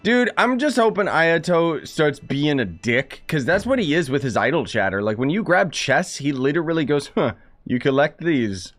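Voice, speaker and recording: Young male streamer: slangy, sarcastic casual game commentary, lively pitch, game music underneath. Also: moderate pace, dry recording. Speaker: gaming streamer.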